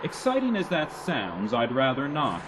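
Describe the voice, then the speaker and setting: An actor playing a stubborn, unflappable two-hundred-year-old robot mercenary in a radio drama, speaking in a dry, terse, matter-of-fact way. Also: male voice, medium pitch, huge pitch swings, normal speed. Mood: calm, insistent. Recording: noisy ambience behind the voice.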